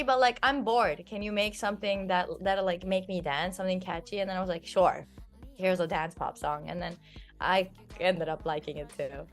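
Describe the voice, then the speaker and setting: A young woman in her twenties, originally from Turkey, talks in a relaxed, chatty way and keeps dropping "like" and "um". Her voice sits on the low side. Faint music plays underneath. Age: 20s. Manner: chatty, filled with "like" and "um". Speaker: singer being interviewed. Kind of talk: casual interview talk.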